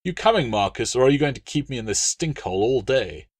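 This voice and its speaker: A man's voice: medium pitch, big pitch swings, fast, breathy edge, no room sound, clean studio sound. A man voicing a haughty, sneering Roman legate with a disdainful, cutting delivery in an audiobook. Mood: dismissive. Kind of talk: audiobook character dialogue.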